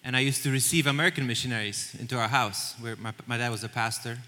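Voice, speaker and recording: Man, earnest storyteller, crisp and bright tone, church-hall reverb. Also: mid-range voice, fairly even intonation, quick pace. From Poland. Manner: earnest, storytelling, with small restarts. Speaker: missions leader. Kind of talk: onstage interview in church. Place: large church auditorium.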